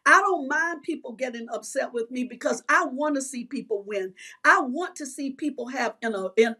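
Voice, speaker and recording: A woman's voice: high voice, a bit bright. A woman mindset coach, a guest in an interview, speaking fervently and repeating phrases for emphasis.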